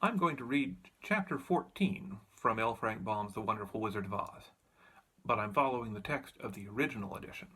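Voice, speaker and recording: A man's voice: fairly low pitch, expressive, fairly quick, bright, forceful tone, husky. A man reading a children's story aloud as narrator. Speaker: audiobook reader.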